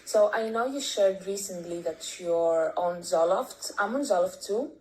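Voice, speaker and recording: A woman's voice: on the low side, a little slow, darkish tone, a little hiss or hum, poor audio. A woman from Greece with a Greek accent, leaving a hesitant voice memo full of ums and restarts. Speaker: caller sending a voice memo. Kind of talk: recorded voice memo.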